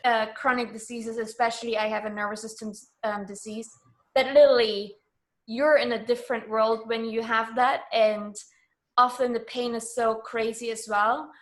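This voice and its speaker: A woman's voice: fairly high pitch, flat delivery, moderate pace. A woman speaking as a guest in a conversational interview, earnest and emphatic in how she talks.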